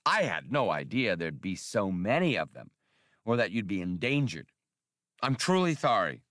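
A man's voice: on the low side, big pitch swings, normal speed, a bit bright, no echo, clean studio sound. A man voicing a fussy, whiskered alien bureaucrat in an audiobook, with a lisp and formal, precise, wordy speech. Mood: chagrined, apologetic. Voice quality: lisping.

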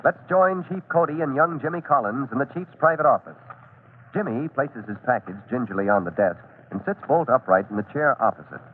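A man's voice: medium pitch, animated, a little fast. A man narrating an old-time radio drama in a scripted 1940s announcer style, dramatic and emphatic. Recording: tinny, noisy old radio recording.

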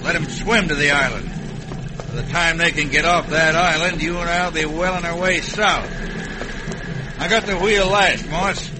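An actor as high-voiced scheming Western boat villain. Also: male voice, normal speed, natural voice. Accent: American. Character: scheming, smooth-talking villainous boat skipper. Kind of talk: classic radio western acting. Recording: noisy old radio recording with rumble.

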